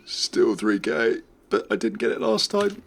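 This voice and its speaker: A man hosts a gaming video with casual, chatty commentary. His mid-pitched voice has a jokey, conversational delivery. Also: very animated, measured pace, creaky.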